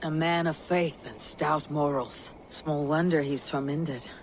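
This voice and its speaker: A stoic Viking warrior leader in a game cutscene. The voice sits fairly high for a man, solemn and measured, with ambient noise behind it. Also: fairly even intonation. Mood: calm, grave. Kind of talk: dramatic video game cutscene acting.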